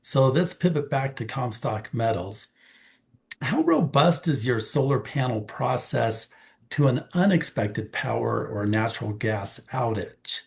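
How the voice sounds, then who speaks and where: A man moderating an investor Q&A, reading out questions in a measured, businesslike way. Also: medium pitch, gravelly.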